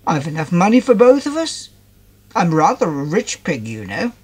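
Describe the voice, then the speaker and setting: A man's voice: high voice, wildly swooping pitch, normal speed, husky, full character voice. A man voicing a kindly, confident male toy pig, reassuring and chatty, in a children's storybook.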